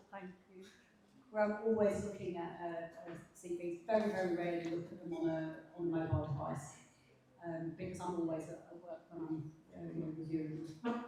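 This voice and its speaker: Soft-spoken woman in an echoey café. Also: fairly low pitch, slight background noise. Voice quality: fairly quiet voice. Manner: hesitant, many restarts and fillers. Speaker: panel reviewer. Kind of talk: spoken portfolio critique. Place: café event space. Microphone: handheld mic, held away at times. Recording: very echoey room, distant mic, faint ambience.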